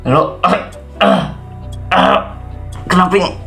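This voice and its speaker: Man acting, young zombie survivor, game roleplay, weary, jumpy, self-muttering, exclaiming, slangy, medium pitch, background music. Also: wildly swooping pitch, extremely slow, vocal fry, a bit bright, heavily acted. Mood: startled, dazed. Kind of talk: improvised Minecraft roleplay, talking to himself.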